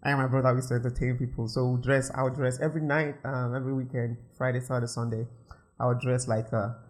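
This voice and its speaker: A young Nigerian man with a Nigerian accent, a musician guest telling his story in a casual interview, animated and quick to laugh. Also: mid-range voice. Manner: animated, laughs often, fillers like "you know".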